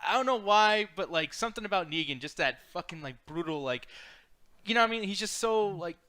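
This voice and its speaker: Fast, bright-voiced excitable man. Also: high-pitched. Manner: excitable, swears freely. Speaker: podcast co-host. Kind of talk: casual chat.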